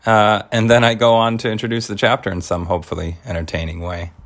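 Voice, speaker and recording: A man's voice: on the low side, flat delivery, fast talker. A man presenting his own podcast in a casual, explanatory trailer voice-over.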